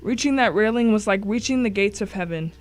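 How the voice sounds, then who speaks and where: A woman's voice: mid-range voice, fairly quick. Woman narrating a suspense story. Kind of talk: dramatic first-person audiobook narration.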